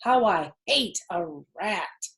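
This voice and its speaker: A woman's voice: mid-range voice, animated, takes it slowly, fairly bright tone. Woman playing an exasperated folksy farmhand. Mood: disgusted. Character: gruff country farmhand man who hates rats.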